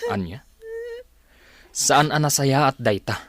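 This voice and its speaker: A man's voice: mid-range voice, slow, a bit bright, no echo. A man playing a concerned, protective father in a radio drama, questioning emphatically. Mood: incredulous, indignant.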